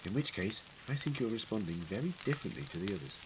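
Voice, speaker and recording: A man's voice: medium pitch, sing-song, fast talker, vocal fry, background noise. A man acting in a fan audio drama as an eccentric, clever Time Lord adventurer, musing, wordy and wryly witty. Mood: probing.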